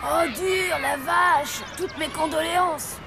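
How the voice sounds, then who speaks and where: A woman's voice: extremely high pitch, slow pace, crowd noise, full character voice. A woman voicing an earnest, inventive young zombie boy in a French cartoon dub. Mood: casual, playful.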